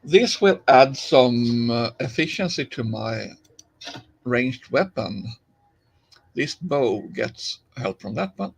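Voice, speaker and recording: A man's voice: mid-range voice, lively intonation, slow pace, gravelly, slightly rough. A man from Finland with a Finnish accent, chatting casually on a live stream while he plays, rambling along with many fillers and restarts.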